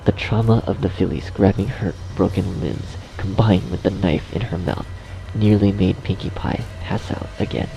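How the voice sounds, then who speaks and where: A man reading scripted dark fiction as a narrator in a measured storytelling manner. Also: low voice, steady tone, vocal fry, faint music behind, poor audio.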